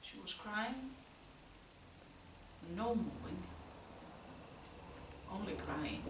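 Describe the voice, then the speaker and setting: A woman acting a tense, troubled youth haunted by violent parents in a halting, intense stage monologue. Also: medium pitch, lightly acted. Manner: slow, halting, intense, from hushed to loud. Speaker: actress performing a solo monologue. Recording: roomy, distant mic, faint noise.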